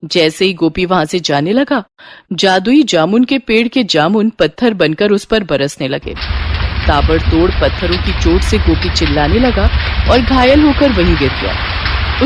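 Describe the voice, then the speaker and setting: A woman's voice: medium pitch, lively intonation, moderate pace, noisy background. A woman narrating a scripted moral story as a storyteller.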